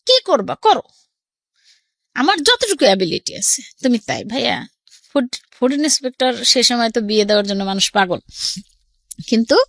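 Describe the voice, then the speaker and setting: High-pitched, animated middle-aged Bangladeshi woman. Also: dry recording, clean studio sound. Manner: animated, quotes people's words as she recounts. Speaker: guest telling her life story. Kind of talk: personal storytelling in an interview.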